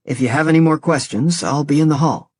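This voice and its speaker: Woman reader doing a calm, formal cop: measured, polite. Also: deep voice, husky, dry recording, clean studio sound. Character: calm, formal male police officer.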